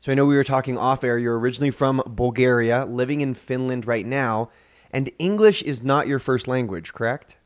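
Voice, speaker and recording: Smooth-voiced male podcast host. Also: medium pitch, a little fast. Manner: friendly, rambling, many fillers and restarts. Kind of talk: casual interview chat.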